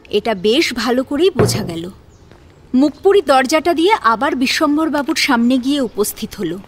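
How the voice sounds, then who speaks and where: A woman narrating a scripted family drama as an audio story. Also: sits high.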